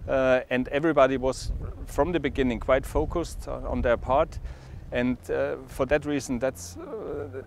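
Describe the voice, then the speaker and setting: A middle-aged man being interviewed outdoors on a lapel mic, speaking haltingly with many restarts and fillers. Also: mid-range voice, fairly even intonation, gravelly, a little hiss or hum. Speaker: industry executive interviewed. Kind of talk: interview answers. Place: outdoors at a boat show marina.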